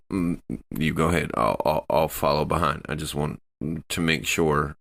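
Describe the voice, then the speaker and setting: A man playing a tabletop game, talking casually through his character's moves in a hesitant, halting way. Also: extremely low pitch, fairly bright tone. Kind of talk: casual in-game role-play talk.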